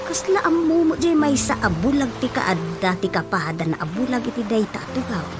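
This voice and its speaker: A woman's voice: a little high, expressive, moderate pace, natural voice. A woman acting a gossipy, fussing village churchgoer in a radio drama, with an Ilocano accent and exclamatory delivery. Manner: exclamatory, with repeated interjections. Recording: radio drama with loud music bed. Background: background music.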